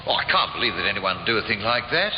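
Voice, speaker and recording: Indignant jailed theatre man, clipped and articulate, animated delivery, echoey vintage radio recording. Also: fairly low pitch, rapid-fire. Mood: puzzled, uneasy. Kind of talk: 1950s radio drama acting. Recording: echoey, muffled, noisy old radio recording.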